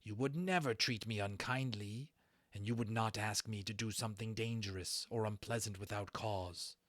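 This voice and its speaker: A man's voice: lowish voice, normal speed, fairly bright tone, dry recording, clean studio sound. A man voicing a big, loyal, thoughtful male dragon in an audiobook, speaking earnestly. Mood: earnest devotion. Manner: earnest, questioning.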